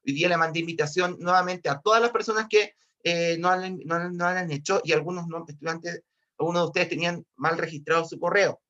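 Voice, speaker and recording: A male high school physics teacher with a Chilean accent, giving an online class while a bit hoarse from a cold, repeating himself and often calling to his students. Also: steady tone, fairly quick, sharp tone. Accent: Chilean Spanish. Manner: repetitive, restarts often, keeps addressing students. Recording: dull lo-fi online call audio.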